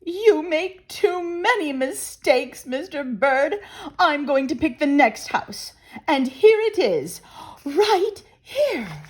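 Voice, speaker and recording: A fussy little wife bird from a picture book, put on by a woman reader. The voice is very high and swoops up and down, emphatic and bossy. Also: big pitch swings, takes it slowly, exaggerated voice. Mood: scolding, decisive. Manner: complaining, emphatic. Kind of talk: storybook character voice.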